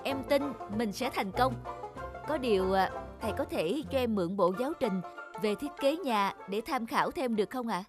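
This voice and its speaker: A woman's voice: on the high side, expressive, normal speed, music in the background, lightly acted. A woman voicing an earnest, polite young male architecture student in a cartoon moral tale, with neat enunciation. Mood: eager, polite. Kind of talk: animated moral tale dubbing.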